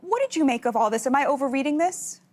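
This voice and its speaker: High-pitched woman hosting political television. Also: lively intonation, quick pace, darkish tone. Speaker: TV news host and interviewer. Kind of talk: broadcast political interview, opinionated questioning.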